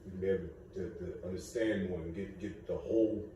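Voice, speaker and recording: A man's voice: sits low, steady tone, a little fast, mellow. A man in a class discussion, talking emphatically in a casual way. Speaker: class participant. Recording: echoey room, distant mic, ambient noise.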